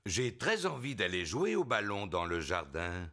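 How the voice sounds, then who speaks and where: A man's voice: fairly low pitch, big pitch swings, measured pace, long reverb tail, noisy background. A man voicing a jovial, playfully pompous father pig in a children's cartoon, with a hearty theatrical delivery. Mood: cheerful.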